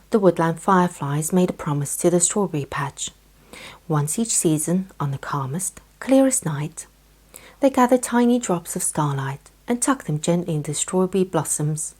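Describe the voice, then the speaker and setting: A woman narrating a children's bedtime story in a soft, breathy, gentle scripted style. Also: on the low side.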